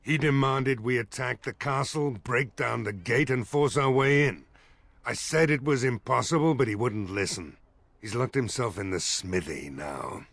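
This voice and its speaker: An actor voicing a weary, gruff village mayor in a video game, blunt and grumbling, with a gravelly, husky voice. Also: male voice, medium pitch, a little slow, a bit bright, no echo. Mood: frustrated.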